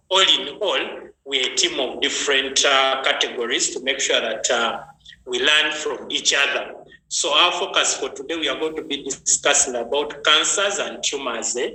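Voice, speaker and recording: Bright-voiced man hosting online. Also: medium pitch. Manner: chatty, greets viewers by name. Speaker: health session host. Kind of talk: online training talk. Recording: echoey room with faint noise.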